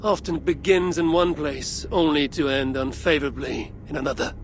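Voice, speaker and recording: Actor as stoic, guarded Viking raider. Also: male voice, on the high side, fairly even intonation, a little hiss or hum, natural voice. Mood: calm, firm. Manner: measured, terse, guarded. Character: stoic, blunt Viking raider from the Raven clan. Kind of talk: video game cutscene drama. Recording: loud music behind.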